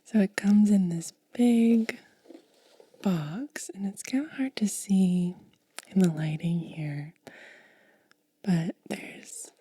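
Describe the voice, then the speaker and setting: Whispering woman, very slow ASMR. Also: medium pitch, no echo. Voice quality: breathy, whispered. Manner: slow, hushed, lingering on short phrases. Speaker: ASMR video host. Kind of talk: soft-spoken ASMR.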